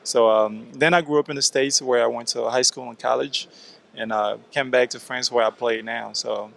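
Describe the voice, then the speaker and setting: A man from Ivory Coast, a basketball team captain, answering interview questions haltingly with lots of "I mean" fillers. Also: mid-range voice, fairly even intonation, vocal fry, a bit bright.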